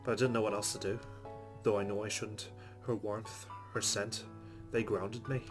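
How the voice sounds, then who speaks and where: Male let's play host, lowish pitch, narrating visual novel text with casual asides over game music. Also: little pitch movement, normal speed. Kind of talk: casual game commentary and reading story text aloud.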